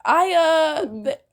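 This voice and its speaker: A woman with a high-pitched voice reads a story aloud in an informal, theatrical way. Her intonation swings widely, and her delivery is giggly, slipping easily between reading and banter. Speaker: co-reader on a fan fiction reading channel. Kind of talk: informal fan fiction read-aloud with banter.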